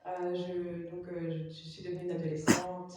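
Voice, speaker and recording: A woman's voice: fairly low pitch, level tone, normal speed, distant mic, heavy background noise. Hesitant Belgian woman in echoey chapel. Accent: Belgian French. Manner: hesitant, many fillers. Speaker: testimony giver. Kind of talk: personal testimony in church, interpreted.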